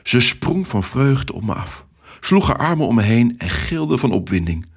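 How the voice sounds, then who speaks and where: A man's voice: medium pitch, very animated, moderate pace, vocal fry, breathy edge. A man narrating a scripted first-person story for young children.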